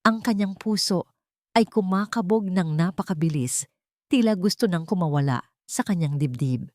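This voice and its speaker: A woman's voice: lowish voice, lively intonation, normal speed, darkish tone, no room sound, studio quality. A woman narrating a scripted romance audio drama in a dramatic storytelling style.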